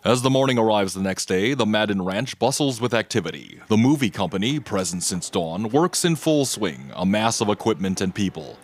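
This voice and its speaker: A man's voice: lowish voice, animated, normal speed, few pauses. A man delivering scripted narration in the style of an old-time radio mystery.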